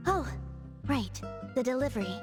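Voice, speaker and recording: An aloof, forgetful young female courier in an anime game. Her voice is fairly high, with a terse, deadpan delivery. Music plays clearly behind her. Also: moderate pace. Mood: flat, absent-minded.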